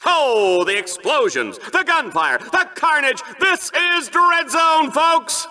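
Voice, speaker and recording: A man's voice: very high-pitched, sing-song, slow pace, bright, forceful tone, heavily acted. An actor playing a brash, smarmy TV announcer of arena combat in a video game, with hammy, punchy showman delivery. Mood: hyped showmanship. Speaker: sports show announcer. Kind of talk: over-the-top game show sports commentary.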